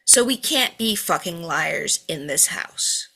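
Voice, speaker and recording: A woman's voice: lowish voice, lively intonation, takes it slowly, creaky, bright, forceful tone, husky, heavily acted. A woman playing a volatile, foul-mouthed mother at a script table read, cutting and scolding. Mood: bitter ranting. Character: bitter, volatile, foul-mouthed mother berating her grown children.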